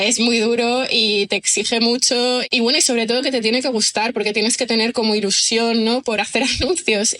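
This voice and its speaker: A woman's voice: a little high, sharp tone. A woman, a writer chatting as an interview guest, rambling with many fillers. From Almería, Spain. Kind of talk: casual interview chat.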